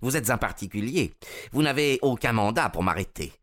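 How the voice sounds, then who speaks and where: Un homme qui joue, dans une histoire lue, un espion allemand fier, amer et indigné, au débit lent et résolu. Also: male voice, mid-range voice, expressive, a bit bright, voice pushed up, close to an everyday voice. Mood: indignant. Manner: slow, resolute, aggrieved. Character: bitter, defeated, indignant German spy, proud man.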